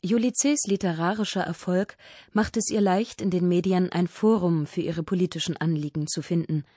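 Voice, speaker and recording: A woman's voice: lowish voice, steady tone, normal speed, warm tone, no room sound, studio quality. A woman reading scripted narration for a radio feature in a composed, orderly way.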